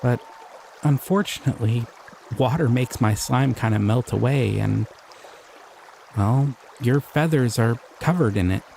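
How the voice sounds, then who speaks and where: A man voicing a gentle, curious male slime creature in an audio roleplay; he is soft-spoken, easily delighted and chuckles often. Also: mid-range voice, unhurried, gravelly, slight background noise.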